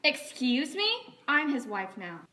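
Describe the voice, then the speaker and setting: A high, piercing voice from a woman acting a self-pitying, spiteful wife in an amateur film. She whines and snaps, bright and sharp in tone. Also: big pitch swings, unhurried, full character voice. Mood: snappish. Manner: whiny, snappish. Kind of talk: amateur scripted film dubbing.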